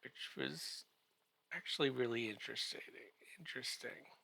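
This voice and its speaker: A man giving a comedic podcast recap in a halting, drawn-out way, full of wry joking asides. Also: medium pitch, creaky, sharp tone, husky.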